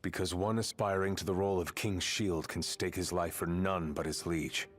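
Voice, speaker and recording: An actor playing a seasoned, middle-aged male soldier who speaks in a measured, stern tone as a mentor. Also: low-pitched, steady tone. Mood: recounting. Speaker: video game character. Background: game score music.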